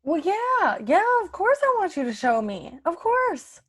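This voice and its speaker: A woman's voice: very high voice, very animated, unhurried, fairly bright tone, heavily acted. A woman playing an impatient, skeptical boss in an improvised comedy scene, sarcastic and exasperated.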